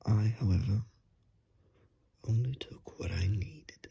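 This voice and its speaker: A menacing vampire, acted out by an ASMR performer speaks in a husky, breathy whisper with a creaky edge. The delivery is very slow and coaxing, courteous on the surface and hungry underneath, as in an intimate horror roleplay. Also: mid-range voice, dark tone, highs cut off, heavily acted. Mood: teasing, pleased. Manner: slow, coaxing, politely threatening.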